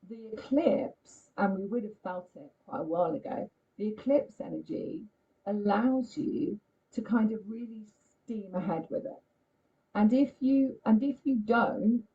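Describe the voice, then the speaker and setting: A woman astrologer giving a casual, chatty talk on a live stream, croaky-voiced, often checking in with "okay?". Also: medium pitch, mellow. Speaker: astrologer and healer. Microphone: computer mic on a video call. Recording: muffled lo-fi stream audio.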